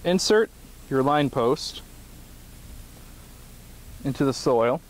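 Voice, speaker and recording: A man's voice: medium pitch, lively intonation, slow pace, poor audio. A man in his thirties giving a plain step-by-step demonstration outdoors in a grassy field, speaking in short phrases while he works. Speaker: product demonstrator.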